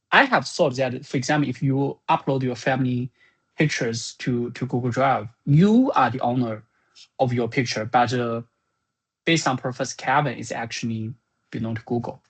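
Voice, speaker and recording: A software creator giving an informal spoken summary of business plans in a halting, think-aloud manner. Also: medium pitch, husky.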